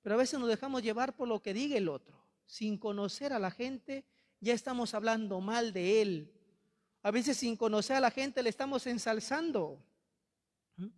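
A man's voice: extremely high pitch, moderate pace. A young Peruvian priest with a Peruvian accent preaching a sermon in a large church, holding a handheld mic, impassioned and reproachful, repeating his phrases. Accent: Peruvian Spanish. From Peru. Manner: impassioned, rhetorical repetition, reproachful. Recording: long church reverb.

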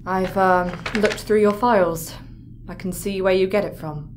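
An actress playing a patient, formal crew psychologist, measured and professional, in an audio drama. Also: female voice, medium pitch, steady tone, slight background noise, close to an everyday voice. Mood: patient, probing.

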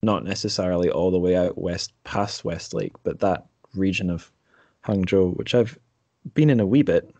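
A man hosting a podcast, discussing books casually in a halting, tentative way. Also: low-pitched, vocal fry, dark tone, no echo. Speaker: podcast host.

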